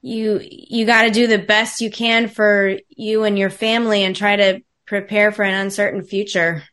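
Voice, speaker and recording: A woman's voice: mid-range voice, level tone, moderate pace, a bit bright. A woman hosting a podcast interview, asking questions in a friendly, curious way. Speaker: podcast host.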